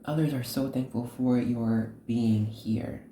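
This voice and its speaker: A woman hosting an unscripted tarot reading, speaking to the viewer in an earnest, instructive way, in short halting phrases. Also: very deep voice, fairly even intonation, mellow, echoey room, a little hiss or hum. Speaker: host, tarot card reader.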